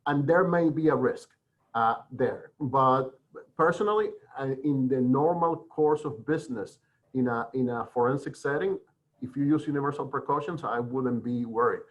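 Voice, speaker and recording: Middle-aged male medical expert, discursive webinar delivery, medium pitch, muffled lo-fi call. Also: moderate pace. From Dominican Republic. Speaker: forensic pathologist, guest speaker. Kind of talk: explanatory webinar talk. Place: online video call. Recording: dull lo-fi web call audio.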